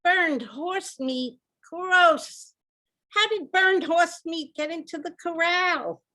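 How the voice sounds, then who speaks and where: A woman's voice: very high voice, takes it slowly, sharp tone, exaggerated voice. A woman playing an officious, easily appalled senior center director in an old-time radio comedy.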